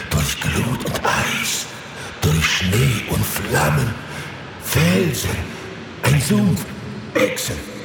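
Ein Mann spielt in einem Fantasy-Hörspiel einen Seher, der in unheilvoller Trance stockend und bedeutungsschwer Visionen verkündet. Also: male voice, mid-range voice, gravelly, heavily acted. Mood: solemn prophecy. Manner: halting, portentous fragments with dramatic outbursts. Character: male seer in a dark, ominous prophetic trance. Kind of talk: fantasy audio drama acting. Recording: cavernous echo, distant, heavy background noise.

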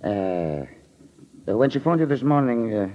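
A man acting a younger husband in a 1940s radio drama, evasive and nervy under smooth politeness, on an old radio recording. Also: mid-range voice, big pitch swings, unhurried, muffled recording, slight background noise. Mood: feigned ease.

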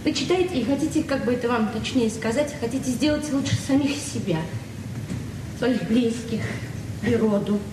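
Actress doing sincere librarian lady, 40s, highish voice, pauses to find words, old soviet radio play, set back from mic. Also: natural voice. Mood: earnest, tender. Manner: earnest, heartfelt speechmaking with searching pauses. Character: idealistic, earnest librarian woman past forty, naively open-hearted. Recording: roomy, distant, noisy 1970s radio recording.

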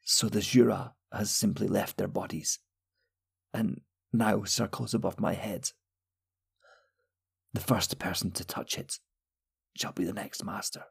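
A frail old wizard on the edge of death, his voice thin, gravelly and heavily husky, as a male narrator puts him on. He speaks slowly and solemnly, weighing every word through his pain. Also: fairly low pitch, fairly even intonation, exaggerated voice. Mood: weary. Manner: slow, solemn, pleading.

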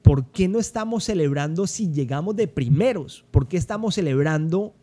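A man's voice: fairly high pitch, lively intonation, moderate pace, mellow. A young adult man from Cali, Colombia, with a Colombian accent, hosting a solo podcast in an emphatic, conversational way and often repeating words as he thinks. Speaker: podcast host.

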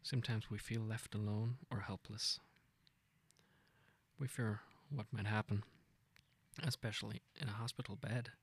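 A man with a low, husky voice full of vocal fry guides a meditation. He speaks softly and gently, without hurry, in an informal and intimate way. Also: steady tone. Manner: gentle, unhurried, informal with self-corrections. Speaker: meditation guide, podcast host.

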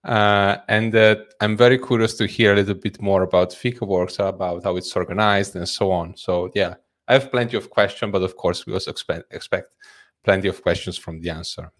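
Man with an Italian accent, lowish voice. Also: fairly even intonation, brisk. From Italy. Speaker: guest co-host. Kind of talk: casual self-introduction.

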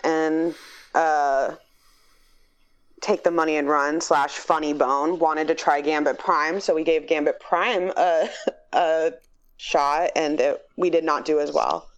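A woman's voice: sits low, fairly even intonation, slow pace. A woman speaking casually as a panelist on a gaming podcast.

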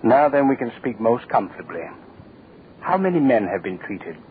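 An actor playing a cold, power-hungry state official in theatrical, menacing, gloating 1940s radio drama style. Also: male voice, medium pitch, big pitch swings, moderate pace, close to an everyday voice. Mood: coolly menacing. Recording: tinny, hissy old radio recording.

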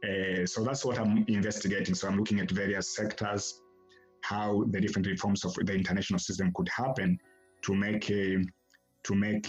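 A man from Tanzania, answering podcast interview questions as an academic guest in a halting way, often repeating words as he starts a phrase. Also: deep voice, lo-fi sound.

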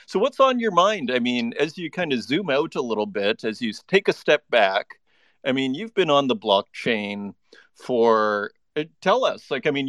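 A man's voice: mid-range voice, fairly bright tone, no echo. A man hosting a live online audio interview, chatty and enthusiastic, often restarting his sentences. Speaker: podcast host.

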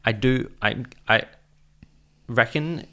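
A man's voice: mid-range voice, slow, pushed and bright. A man co-hosting a podcast, chatting casually in a rambling, self-deprecating, joking way. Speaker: podcast co-host. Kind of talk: casual chat.

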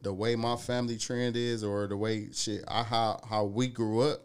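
A man in his thirties from the Cleveland area telling animated, slangy stories in casual chat, swearing freely. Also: lowish voice, normal speed, clean tone. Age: 30s.

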